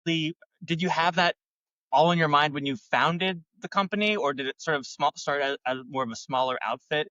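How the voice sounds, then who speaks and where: A man hosting a podcast, asking enthusiastic, halting questions with restarts. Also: a little high, sharp tone, dry recording, highs cut off. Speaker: podcast host. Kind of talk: podcast interview.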